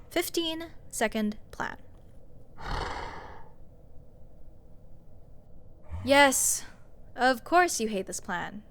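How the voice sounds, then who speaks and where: A woman's voice: a little high, fairly bright tone. A woman playing a snarky, exasperated sorceress in a fantasy audio roleplay, talking to the listener in a sarcastic, emphatic, conversational way. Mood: brisk, sardonic. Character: snarky young sorceress, exasperated, scoffing, nitpicking adventurer. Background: fantasy dungeon ambience.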